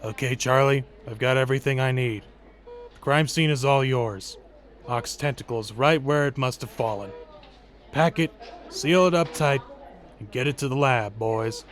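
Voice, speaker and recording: A man playing a brisk, bossy police detective in a comic book dub. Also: mid-range voice, lightly acted. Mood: brisk command.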